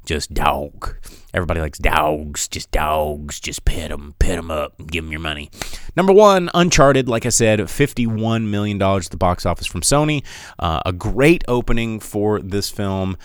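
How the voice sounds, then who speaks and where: Chatty man with a smooth low voice. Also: animated, normal speed, no room sound, studio quality. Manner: chatty and rambling, with many fillers and restarts. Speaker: podcast host. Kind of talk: casual movie box office rundown.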